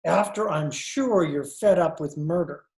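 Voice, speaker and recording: A man's voice: fairly high pitch, unhurried, darkish tone. A man playing a groggy, sardonic fiancé in an old-time radio comedy-mystery, drawling and groaning. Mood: smugly teasing. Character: groggy, wry fiancé of a lady reporter.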